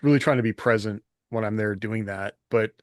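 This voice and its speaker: A man's voice: lowish voice, brisk, no echo, studio quality. A middle-aged man talking casually as a podcast host in a reflective, loosely rambling way.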